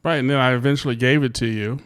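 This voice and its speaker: A man's voice: medium pitch, fairly even intonation, quick pace, a bit bright, clear, resonant tone. A man, the host's father, asking questions in a playful, joking way with many fillers. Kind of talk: casual interview chat.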